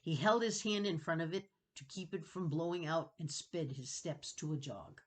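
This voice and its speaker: A woman's voice: deep voice, normal speed. A woman narrating a children's novel dramatically, reading with expression and suspense. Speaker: storybook reader.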